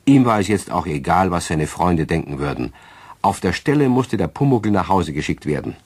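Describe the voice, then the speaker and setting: An actor as a kindly, gently wry storyteller with a husky voice, narrating a children's radio play. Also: male voice, sits low, big pitch swings, moderate pace, no room sound, full character voice. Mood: dramatic, wry.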